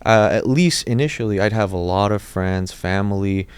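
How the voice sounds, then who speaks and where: Deep-voiced man, smooth and dry. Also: moderate pace, no room sound. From born in Iraq, raised in Los Angeles. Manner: rambling, many restarts and fillers. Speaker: dentist, podcast guest. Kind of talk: casual interview talk.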